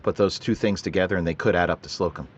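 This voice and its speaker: Guy doing a deadpan 40s radio cop, lowish voice, bit muffled. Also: fast talker, slight background noise, close to an everyday voice. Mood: businesslike. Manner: level, matter-of-fact questioning. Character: calm male police detective, businesslike. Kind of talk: 1940s radio drama acting.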